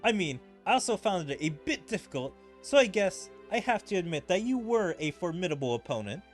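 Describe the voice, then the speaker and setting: A man's voice: high-pitched, sing-song, brisk, faint music behind. A man reading game story dialogue as a smug, formidable dungeon opponent, haughty and formal. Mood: grudging respect.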